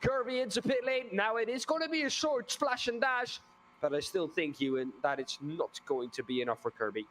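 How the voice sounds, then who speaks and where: High-voiced male race commentator. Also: moderate pace, fairly bright tone, a little hiss or hum. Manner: chatty, asks co-commentator questions. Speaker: sim racing commentator.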